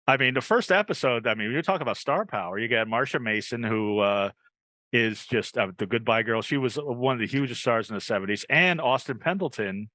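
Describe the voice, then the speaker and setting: A man's voice: medium pitch, animated, brisk, bright, forceful tone, dry recording. An American man hosting a podcast, chatting casually in an informal, joking way. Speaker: podcast host. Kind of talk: casual chat.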